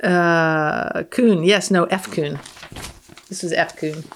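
A woman's voice: on the low side, steady tone, slow, a little hiss or hum. A woman guesting on a podcast as a physicist, reading aloud and commenting casually in an offhand, matter-of-fact way.

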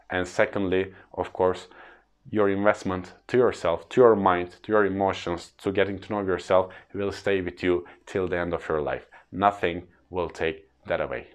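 A man in his thirties with a Turkish accent, explaining personal finance in an earnest, plain way to camera. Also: low voice. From Turkey. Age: 30s. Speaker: freelance trainer.

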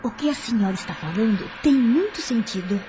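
A woman's voice: on the high side, animated, fairly quick, noisy background, lightly acted. An actress playing a troubled widow in a radio soap, speaking earnestly and respectfully as she seeks understanding. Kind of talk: radio soap opera acting. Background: music.

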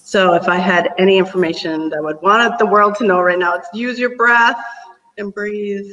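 A man's voice: high voice, moderate pace, sharp tone, far from the mic, lo-fi sound. A man talking earnestly about breathing as a teacher on a panel discussion, rambling a bit and laughing often.

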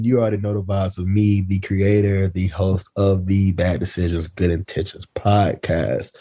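A man's voice: fairly low pitch, dark tone. A man hosting a podcast in casual, slangy chat, playful and teasing with his co-hosts. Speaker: podcast host and creator.

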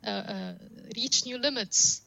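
A woman's voice: on the high side, slow pace, gravelly, pushed and bright. A woman whose first language is Arabic, explaining her work earnestly as an interview guest, often through analogies. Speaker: guest, data science professional.

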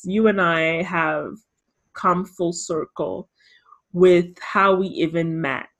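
Slow, low-voiced woman podcast host. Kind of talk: casual chat.

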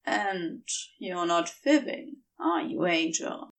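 A woman reads a male lover in an audiobook story, commanding yet tender. He speaks slowly, with amusement and a soft note of warning, a little back from the microphone. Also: fairly even intonation, distant mic. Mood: teasing, warning. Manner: low-key amused, gently warning. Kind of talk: audiobook character dialogue.

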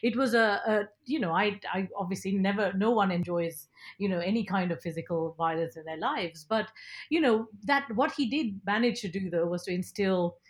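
A woman from Nigeria and later England speaks as an interview guest. She has a mid-pitched voice and an animated, talkative, emphatic way of telling her story in casual conversation. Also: normal speed. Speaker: podcast guest, broadcaster and film critic.

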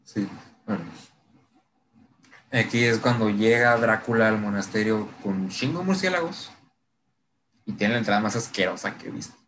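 A man's voice: fairly low pitch, moderate pace, long pauses, a bit bright. Irreverent Mexican man, noisy recording. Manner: irreverent, heavy slang and swearing. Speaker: podcast co-host. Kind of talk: casual chat recapping a TV series.

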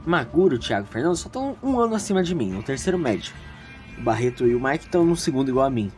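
A man's voice: medium pitch, big pitch swings, fast, a little mellow, soft background music, lightly acted. A man with a Brazilian accent playing an anxious, insecure, ambitious teenage schoolboy in a dramatic audio drama, speaking emotively and emphatically. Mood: irritated, defensive. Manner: emotive, emphatic, repeating words when agitated. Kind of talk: dramatic first-person narration and dialogue in audio drama.

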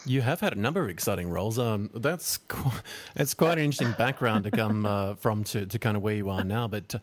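A male host fires off long, winding questions with enthusiasm. He talks quickly in a lowish voice. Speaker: podcast host. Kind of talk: interview hosting.

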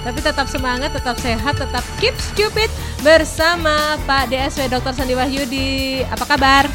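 A woman hosting a radio talk show, her voice slightly nasal from a cold, upbeat and quick with short replies. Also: high-pitched, over music. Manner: upbeat greeting, many short backchannels. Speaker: radio host. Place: radio studio. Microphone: close studio mic.

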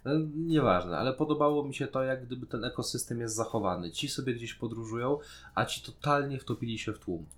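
A man's voice: mid-range voice, expressive, brisk. A man co-hosting a podcast, explaining his views reflectively in casual conversation. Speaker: podcast co-host. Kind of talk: casual review chat.

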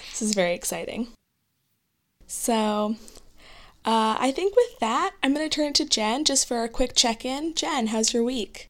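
A woman in her twenties co-hosting a casual podcast, her voice fading and hoarse, chatty and apologetic. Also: a little high, expressive. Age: 20s. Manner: chatty, apologetic, quick asides. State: losing her voice. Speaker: podcast co-host.

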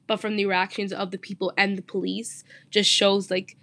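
A woman's voice: medium pitch, level tone, normal speed, pushed and bright, no room sound. A young woman hosting a student discussion podcast, earnest and asking her guests questions. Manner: earnest, asks the panel questions. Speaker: host.

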